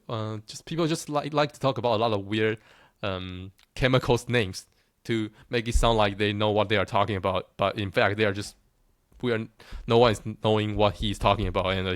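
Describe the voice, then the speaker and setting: Taiwanese male creator, low voice, dry close mic, casual and confident. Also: a bit bright, no echo. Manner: self-assured, joking, with frequent restarts. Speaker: looksmaxxing content creator. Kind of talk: casual monologue to followers.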